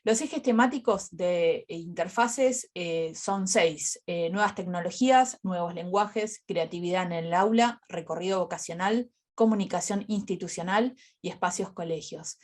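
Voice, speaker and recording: A woman moderating an online academic conference, introducing speakers in a halting, hesitant way. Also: on the low side, darkish tone. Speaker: session coordinator and moderator.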